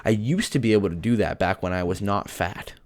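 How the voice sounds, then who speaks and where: A man's voice: lowish voice, fairly quick. A young man from Canada with a lisp, hosting a casual gaming-channel Q&A from his bedroom, joking and laughing as he answers. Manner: chatty, joking, laughs often. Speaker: YouTube gaming channel host. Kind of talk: casual Q&A vlog.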